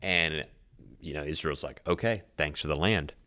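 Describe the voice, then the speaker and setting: A man's voice: rumbling low, normal speed, bright, forceful tone. A man co-hosting a church podcast, summing up history and thinking aloud with many restarts. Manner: thinks aloud with restarts, sums up and checks facts. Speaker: podcast co-host. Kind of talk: casual history discussion.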